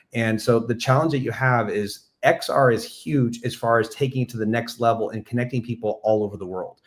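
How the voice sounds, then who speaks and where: Man panelist with a low voice, measured and explanatory. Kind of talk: panel discussion.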